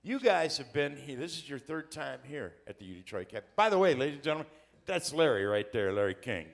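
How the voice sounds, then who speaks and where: A man's voice: mid-range voice, big pitch swings, a little fast, creaky. A man hosting a live talk show, welcoming guests with upbeat, joking announcer patter. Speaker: host.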